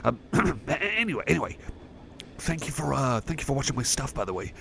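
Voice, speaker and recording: A man's voice: medium pitch, sing-song, creaky, a bit bright, a little hiss or hum. A man playing a shy, friendly cyborg chum in an ASMR roleplay, stammering, repeating himself and putting himself down. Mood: friendly, awkward. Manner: stammering, halting, repeats phrases, self-effacing. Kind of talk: ASMR roleplay, personal attention.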